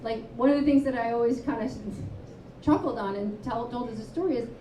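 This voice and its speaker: A woman author and advocate speaking candidly at a live panel, telling stories with quick asides. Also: on the high side, slight background noise. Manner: candid, storytelling, animated asides. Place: live event venue, indoors. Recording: roomy, distant, dull live-room sound.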